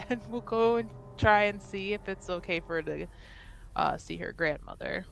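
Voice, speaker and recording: A woman talks casually through her character's thoughts in a tabletop game. Her voice is medium in pitch and she takes her time, hesitating as she thinks aloud. There is faint noise in the recording. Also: unhurried, vocal fry, a bit bright. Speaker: tabletop roleplaying player. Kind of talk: casual improvised roleplay chat.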